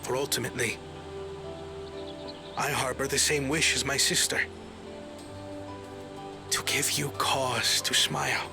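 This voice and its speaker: A man playing a solemn, scholarly young prince in a video game cutscene, humbled and dutiful, with formal, measured oratory. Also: medium pitch, little pitch movement, creaky, over music. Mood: resolute.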